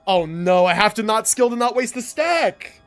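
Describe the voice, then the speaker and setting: A man with a fairly high voice commentates his own gameplay live. His pitch swings a lot as he thinks aloud in casual slang with some swearing. Music plays faintly behind him. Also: animated, normal speed, fairly bright tone. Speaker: game livestreamer. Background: game music.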